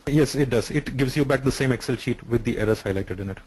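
Man, mid-pitched voice, explanatory, many fillers, video call. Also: fast talker, a little mellow, breathy edge. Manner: explanatory, frequent "uh" and "so". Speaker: software developer answering questions.

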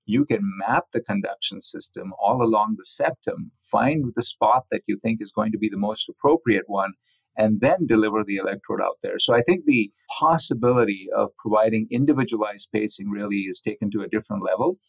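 A man's voice: on the low side, brisk, vocal fry, husky, no room sound, low-quality recording. A man speaking as a physician guest in an interview, explaining technical research with enthusiasm.

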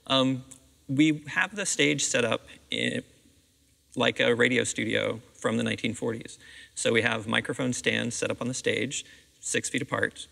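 Gravelly, bright-voiced American man, reverberant hall. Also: mid-range voice, fairly even intonation, normal speed. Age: middle-aged. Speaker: school theatre director being interviewed. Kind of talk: conversational interview answers. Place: seated on the stage of a theatre auditorium. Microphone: clip-on lapel microphone. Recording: reverberant empty auditorium.

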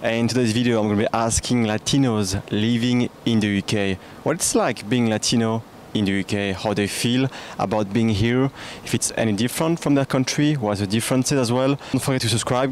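A man's voice: mid-range voice, fairly even intonation, fairly quick, hardly any pauses, a little hiss or hum. A young man from France hosting street interviews outdoors with a handheld mic, friendly and conversational. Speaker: street interviewer, channel host. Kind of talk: casual vlog and street interview. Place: outdoors in a city.

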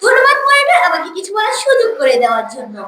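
Very high-pitched schoolgirl debater, echoey. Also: wildly swooping pitch, fast talker. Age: child or teenage school student. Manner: declamatory, formal. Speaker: school debate first speaker. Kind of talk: rehearsed competitive debate speech. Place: school debate event, room. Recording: echoey room, distant mic, noisy background.

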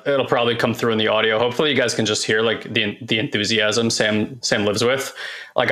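A man co-hosting a podcast in casual conversation, with a monotone, bassy voice, rambling enthusiastically and swearing casually. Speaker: podcast co-host.

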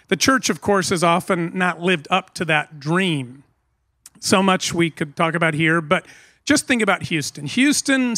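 A man's voice: sits high, a bit bright, no room sound, studio quality. A middle-aged man preaching a sermon outdoors under a tent, earnest and emphatic, into a stand mic. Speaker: pastor.

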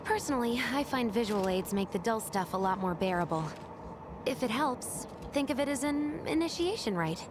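A woman's voice: a little high, expressive, normal speed, background noise, lightly acted. An actress playing a playful young woman rebel in a video game, explaining things in a breezy, teasing way. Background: game music.

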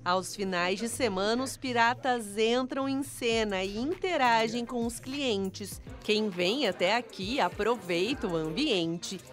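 A woman's voice: on the high side, slow pace, few pauses. A woman reading scripted TV news narration as a reporter. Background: background music.

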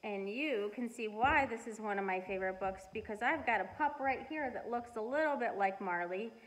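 A middle-aged woman reading a storybook aloud and chatting to children in an expressive, deliberate way. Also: on the high side, poor audio. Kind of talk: storybook read-aloud and casual chat to children. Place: living room at home.

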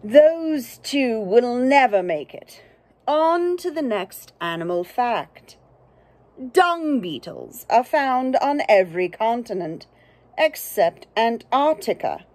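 A bossy, exasperated storybook narrator read by a woman declares animal facts and scolds. The delivery is slow and deliberate, with big swings in pitch. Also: sing-song, darkish tone, dry recording. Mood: fed up. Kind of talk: children's storybook reading.

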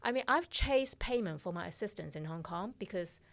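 A woman's voice: lowish voice, expressive, moderate pace, vocal fry. A middle-aged woman, a fashion director and podcast guest, telling stories bluntly and animatedly, swearing freely and acting out the dialogue, on a studio mic in a small podcast studio. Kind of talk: casual interview storytelling. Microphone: studio mic on arm, headphones.

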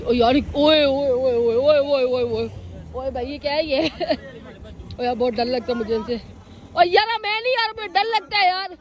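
A young man from Pakistan, vlogging casually on a phone held at arm's length outdoors, excitable and quick to squeal and shout when startled. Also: extremely high pitch. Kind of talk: casual travel vlog narration. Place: outdoors on a mountain roadside. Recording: outdoor phone audio with crowd chatter.

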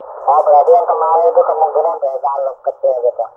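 A man's voice: fairly high pitch, fairly even intonation, fast talker. A man chatting casually as a CB operator, heard through a two-way radio. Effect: two-way radio transmission. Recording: narrow, noisy CB radio reception.

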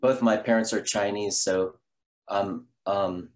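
A man in his fifties from the Bay Area, a Zen priest speaking on a video call in a halting way, with many restarts. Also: on the low side, steady tone. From California, Bay Area. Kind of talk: reflective conversation. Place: room at home.

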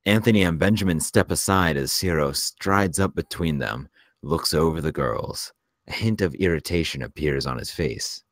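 Very deep-voiced male script narrator. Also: normal speed, dry recording, studio quality. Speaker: narrator reading stage directions. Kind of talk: scripted narration at a screenplay table read.

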